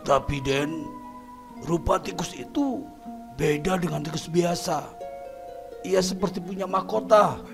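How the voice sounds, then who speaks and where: A man's voice: sits high, unhurried, vocal fry, long pauses, loud music behind the voice, pitched above the performer's own voice. Man doing a jittery, stammering henchman. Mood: uneasy. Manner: stammering, nervous. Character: timid, superstitious male henchman boss.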